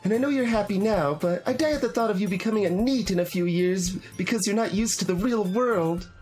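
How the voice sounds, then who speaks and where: A man's voice: high-pitched, brisk, darkish tone, soft background music, pitched above the performer's own voice, lightly acted. A man voicing a cheerful, clingy, caring teenage girl from an anime visual novel, bubbly and pleading. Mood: worried pleading.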